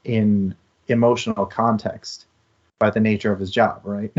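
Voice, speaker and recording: A man's voice: lowish voice, little pitch movement, a little slow. A man co-hosting a casual book discussion, speaking in an earnest, thoughtful and reflective way. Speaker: co-host of a book discussion show. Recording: slightly dull, lo-fi sound.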